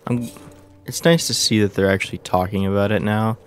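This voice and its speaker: A man with a stuffy, nasal voice giving relaxed, chatty commentary over a game. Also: fairly low pitch, brisk, slight background noise. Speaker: gameplay commentator. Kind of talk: casual let's play commentary.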